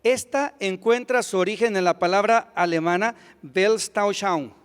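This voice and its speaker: Man, high voice, quick hesitant interpreting, echoing hall. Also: clear, resonant tone. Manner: quick, with hesitant fillers and restarts. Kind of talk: consecutive interpretation of a lecture. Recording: long hall reverb.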